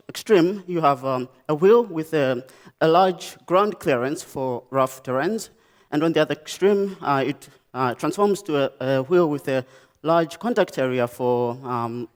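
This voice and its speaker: A young man from Malawi with a Malawian accent, giving a conference talk about his design work, pausing often with "um" and "uh". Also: a little high. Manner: halting, many "um" and "uh" pauses and restarts. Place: lecture stage.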